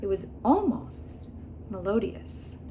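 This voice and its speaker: Animated woman reading a storybook, noisy recording. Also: medium pitch, slow pace. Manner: animated, acts out dialogue and animal sounds. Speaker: children's book reader. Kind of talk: expressive read-aloud storytelling with casual asides.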